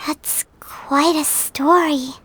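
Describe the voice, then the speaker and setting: A woman voicing a tiny, earnest, childlike fairy companion in a video game, with a naive, hesitant and squeaky way of talking. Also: fairly even intonation, slow pace, a bit bright, soft background music. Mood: puzzled, sympathetic. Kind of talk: video game dialogue acting.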